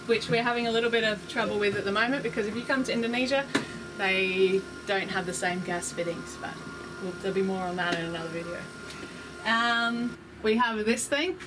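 A woman's voice: medium pitch, noisy background. A woman, chatting casually and upbeat as she walks through the cabin of a sailboat, explaining her gear with frequent ums. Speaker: vlogger giving a boat tour. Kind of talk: casual walkthrough vlog. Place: small cabin of a sailboat, indoors. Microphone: handheld camera close by.

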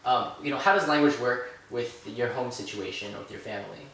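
A young man co-hosts with a relaxed, loose delivery, often saying "you know." His pitch is mid-range. A distant mic in a heavily echoing room picks him up with faint hiss. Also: a little fast. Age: young adult. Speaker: vlog host. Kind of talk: casual hosting. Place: echoey living room indoors. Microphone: far camera mic.

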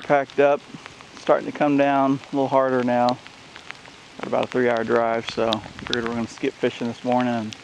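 A man's voice: medium pitch, little pitch movement, moderate pace. A middle-aged man chatting casually as he films an outing outdoors with a handheld camera. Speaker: vlogger. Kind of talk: casual chat while filming an outing. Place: outdoors by a river and campsite. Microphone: handheld camera, held by speaker. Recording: noisy outdoors, water and wind noise.

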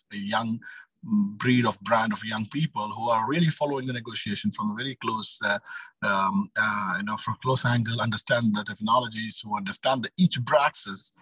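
Low, husky man on a video call. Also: normal speed, a bit bright. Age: 30s. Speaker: youth climate organization leader. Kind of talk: informal panel talk on a video call. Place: small indoor room, video call. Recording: roomy sound, distant from mic.

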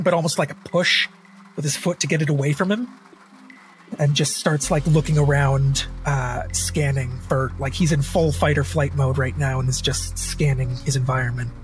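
A man playing a tabletop roleplaying game, improvising his character's actions in a halting way, searching for words. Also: on the high side, fairly even intonation, rough recording. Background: background music.

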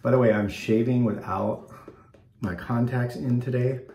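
A man's voice: medium pitch, steady tone, measured pace, mellow. Stuffy-nosed man chatting in bathroom. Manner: rambling, many ums, easygoing. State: slightly stuffed up. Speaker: wet shaving channel host. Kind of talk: casual talk to camera. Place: small bathroom at home, indoors. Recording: echoey bathroom.

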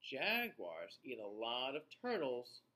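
A man in his forties reading a children's book aloud and speaking deliberately to young viewers. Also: medium pitch, wildly swooping pitch, sharp tone. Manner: slow, deliberate, child-directed delivery. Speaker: children's read-aloud host. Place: small indoor room.

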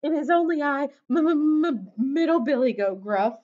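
A woman's voice: sits high, lively intonation, slow pace, a bit bright, muffled recording, pitched above the performer's own voice, heavily acted. A woman voicing a fearful middle-brother billy goat in a children's storybook, anxious and prone to stammering. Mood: shaky, timid.

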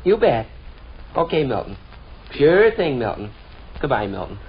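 A man's voice: medium pitch, big pitch swings, unhurried, husky, exaggerated voice. An American man playing an indignant fourteen-year-old boy, mock-formal and exasperated, in 1940s radio comedy style. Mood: sarcastic politeness. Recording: dull, muffled 1940s radio recording.

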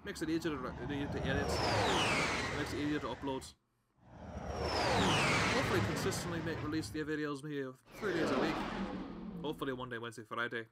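A man's voice: a little high, fairly quick, long pauses, very noisy. A young man in a put-on Irish accent, rambling and correcting himself as he chats through a game. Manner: rambling, self-correcting chatter. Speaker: gameplay commentator. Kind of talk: casual gameplay commentary. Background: game music.

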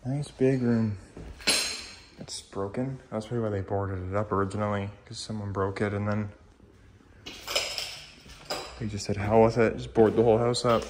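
A man's voice: on the low side, steady tone, a little fast. A man exploring a house as a vlogger, thinking aloud in short, casual, murmured reactions on a noisy handheld recording. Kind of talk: casual off-the-cuff exploration commentary. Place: indoors, inside rooms of a house.

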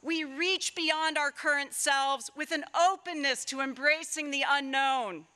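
A middle-aged woman, a political scientist, giving a formal prepared keynote speech at an outdoor lectern with measured, deliberate pauses. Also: high-pitched, steady tone, bright, forceful tone. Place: outdoors at a stone university building, ceremony. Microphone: lectern microphones.

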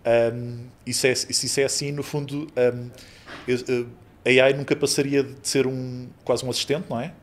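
A man's voice: mid-range voice, level tone, normal speed. A man in his thirties hosting a podcast, asking questions with lots of hedging fillers. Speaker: podcast host. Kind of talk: conversational interview questions. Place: podcast studio room with sofas. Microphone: studio mic on boom arm.

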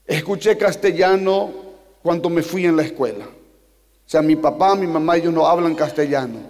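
Impassioned Paraguayan pastor, Guarani native, high-pitched male voice, preaching into a handheld mic in a big reverberant church hall. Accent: Paraguayan Spanish. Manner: impassioned, rising to shouts, slows for emphasis. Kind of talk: sermon. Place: large church hall on a stage. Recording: long reverb of a large hall.

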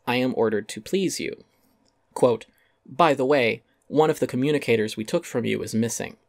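A man's voice: mid-range voice, moderate pace, creaky, no echo, clean studio sound. A man giving a dramatic reading of a critical essay, wry and articulate with theatrical emphasis. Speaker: essay narrator.

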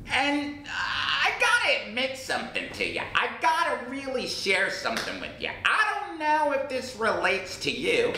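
A middle-aged man playing his own chatty, self-doubting cousin, an English learner, talking to camera in a small room. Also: heavily acted. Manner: animated, gestures a lot, repeats and restarts. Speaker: English teacher in character. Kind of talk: playful character monologue to camera. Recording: roomy echo, faint rumble.

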